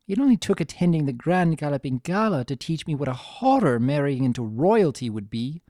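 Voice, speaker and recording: A reader voicing a vain, refined fashionista pony mare with a genteel, dramatic and expressive delivery in a story. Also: medium pitch, no room sound, studio quality, natural voice.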